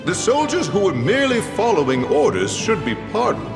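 An excitable fairy retainer in a fantasy game. The voice is strikingly high, with big sweeps of pitch over background music. The wording stays formal and deferential to royalty. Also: male voice, a little fast. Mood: measured, respectful. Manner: formal, respectful. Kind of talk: scripted video game voice acting.

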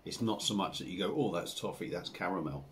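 A man in his forties with an English accent, chatting casually to camera as he reviews a beer at his home bar, rambling and relaxed with lots of fillers. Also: low voice, brisk, slight background noise. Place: home bar room with dartboard and signs.

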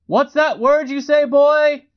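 Jeering mob man played by a male reader: high, shouted, demanding, for a literary story, lo-fi. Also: big pitch swings, drawn-out words, exaggerated voice. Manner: shouted, barking short demands.

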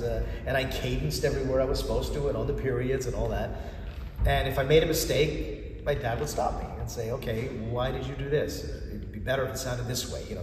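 A man's voice: medium pitch, recorded from a distance, slight background noise. Man telling stories in an echoey church. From United States. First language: Greek. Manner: animated storyteller, acts out dialogue in a raised voice. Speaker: church chanter. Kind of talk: storytelling interview answers. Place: large church interior. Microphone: far camera.

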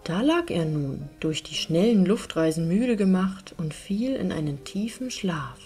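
A woman reading a fairy tale as a relaxing audiobook, in a gentle, measured storytelling manner. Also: fairly low pitch, very animated, husky. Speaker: narrator, audiobook reader. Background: music behind the voice.

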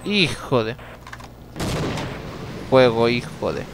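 A young man giving chatty gameplay commentary, talking to himself, swearing at the game and breaking into sudden yells. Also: medium pitch, big pitch swings, very slow, heavy background noise. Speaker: let's play gamer.

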